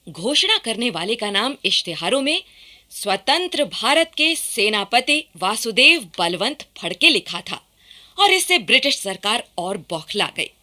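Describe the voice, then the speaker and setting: A woman's voice: on the high side, big pitch swings, measured pace, pushed and bright. A woman giving formal, dramatic scripted narration for a radio play.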